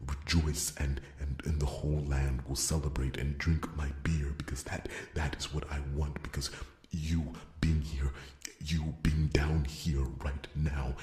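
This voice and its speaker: A man performs a devoted fantasy blacksmith and warrior with a rock-bottom pitch and little melodic movement. He speaks earnestly and haltingly, often repeating words, breathy to the edge of a whisper. It is an ASMR roleplay with a noticeably roomy, echoing sound. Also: flat delivery, darkish tone. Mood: hopeful.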